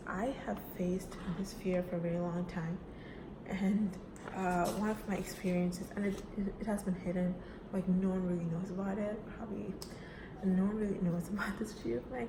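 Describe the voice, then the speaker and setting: A woman's voice: mid-range voice, fairly even intonation, moderate pace. Chatty young woman in an echoey room. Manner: casual, chatty, with frequent fillers like "right?" and "you know". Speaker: student giving a class speech. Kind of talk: personal self-introduction speech. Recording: echoey room with background noise.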